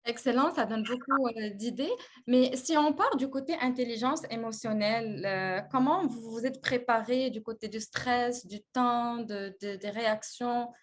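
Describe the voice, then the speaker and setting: A woman's voice: fairly high pitch, moderate pace. A woman moderating an online webinar who asks questions with hesitant fillers. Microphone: video call.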